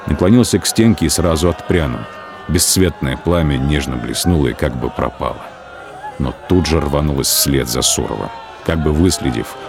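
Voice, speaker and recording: A male narrator with an extremely deep voice reads a radio drama in a grave, measured way. Loud music plays beneath his words.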